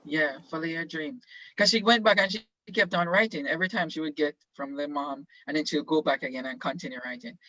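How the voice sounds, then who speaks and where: Guy teacher on a zoom call, lots of ums, nudging kids to talk, flat dry muffled audio. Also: on the high side, no room sound. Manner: halting and encouraging, many ums, slow prompting questions. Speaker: teacher leading a class discussion. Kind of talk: online classroom discussion. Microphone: video call.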